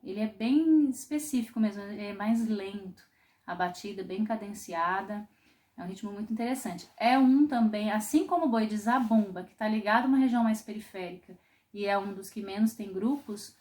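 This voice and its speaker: A woman from Brazil teaching a popular singing class, explaining in a thoughtful way with frequent pauses and restarts. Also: medium pitch, darkish tone. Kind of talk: online music lesson.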